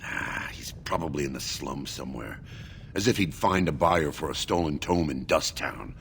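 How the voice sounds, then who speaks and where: A man's voice: extremely low pitch, normal speed, gravelly, fairly bright tone, full character voice. An actor playing a scornful, haughty male dwarf in a fantasy video game, exclaiming indignantly. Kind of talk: video game character acting. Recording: long hall reverb, faint noise.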